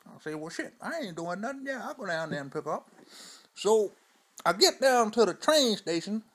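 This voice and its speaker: A man's voice: high-pitched, fairly bright tone, heavily acted. A man playing an older, crude storyteller who speaks in a loose, profane, rambling drawl and keeps asking "you know" and "see?". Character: crude old Southern man spinning tall tales. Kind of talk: stand-up character monologue.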